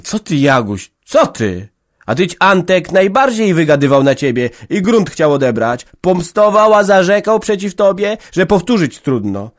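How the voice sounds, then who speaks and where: A man's voice: high voice, big pitch swings, normal speed, no room sound, clean studio sound, voice pushed up. A man voicing a stern, anxious old peasant mother who scolds and lectures in folksy speech. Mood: scolding.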